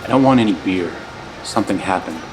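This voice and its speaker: A man's voice: low voice, animated, a little fast, background noise. A man playing a weary insomniac musician, dry and casual, in a naturalistic audio drama. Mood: uneasy, dry. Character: Ray, weary, broke insomniac musician turned amateur investigator.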